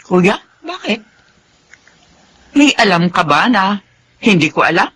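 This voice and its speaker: A woman's voice: mid-range voice, very animated, slow, fairly bright tone, slightly rough, heavily acted. An actress playing a caring middle-aged mother in a Filipino radio drama, earnest as she scolds and pleads. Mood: conversational. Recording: faint background noise.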